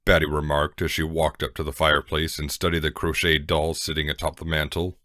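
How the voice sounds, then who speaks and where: Very deep-voiced male story narrator. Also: completely flat delivery, fairly quick, bright, forceful tone, no room sound, clean studio sound.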